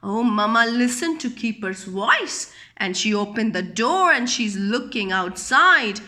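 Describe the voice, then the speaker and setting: A woman's voice: a little high, animated, a little slow, pushed and bright. A woman reading a picture book aloud to young children, explaining it simply with questions and repetition. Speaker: children's storyteller.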